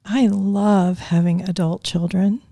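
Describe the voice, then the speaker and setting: A woman in her fifties, a grandmother, recalling raising her children in a thoughtful, unhurried conversation. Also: medium pitch, little pitch movement, vocal fry, warm tone, no room sound, clean studio sound. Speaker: guest. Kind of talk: casual interview chat.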